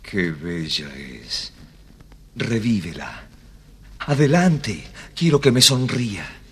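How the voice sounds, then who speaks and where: An actor playing a clever, self-assured young flute player in an audio fairy tale, with theatrical, commanding delivery. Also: male voice, lowish voice, big pitch swings, slow, husky, exaggerated voice. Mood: awed, eager. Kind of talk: audio storybook acting.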